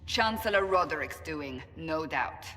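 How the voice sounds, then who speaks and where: A woman's voice: deep voice, lively intonation, measured pace, bright, forceful tone, full character voice. An actress playing a stern, forthright woman warrior in a fantasy game, speaking formally and bluntly. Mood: grave, insistent. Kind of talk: dramatic fantasy game dialogue. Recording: long hall reverb.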